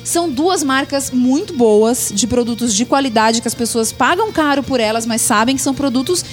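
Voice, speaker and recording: Female podcast co-host, high voice, opinionated, faint music under. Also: expressive, moderate pace. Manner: opinionated, exasperated. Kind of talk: casual chat.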